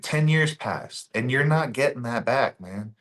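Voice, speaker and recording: A man's voice: mid-range voice, expressive, a little slow, a bit bright. Earnest man, repeating his points. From Bosnia. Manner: earnest and emphatic, repeats key phrases. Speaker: podcast guest. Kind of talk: casual podcast conversation.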